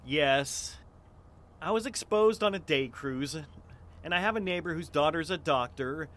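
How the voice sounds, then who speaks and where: A man's voice: fairly high pitch, unhurried, a bit bright, slight background noise. An actor playing a timid, apologetic American neighbor man in an audio drama, hesitant and stammering. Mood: nervous, confessing.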